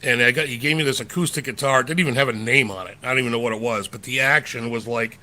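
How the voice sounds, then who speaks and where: Bright-voiced man telling stories. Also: medium pitch, fast talker, a little hiss or hum. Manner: rambling anecdotes with restarts and slang. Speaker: podcast co-host. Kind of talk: casual storytelling chat.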